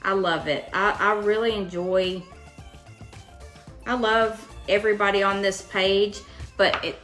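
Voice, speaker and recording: Chatty southern lady from kentucky doing a live craft tutorial, bright forward voice, folksy and informal, bit of music in back. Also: mid-range voice, fairly even intonation, measured pace. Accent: Western Kentucky Southern US. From Western Kentucky, United States. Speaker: craft host. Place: indoors at a home craft table.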